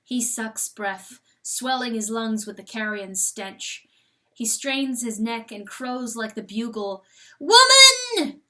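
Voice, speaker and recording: A woman reading a novel aloud as dramatic narration, with theatrical, deliberate phrasing. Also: fairly high pitch, fairly even intonation. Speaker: audiobook reader.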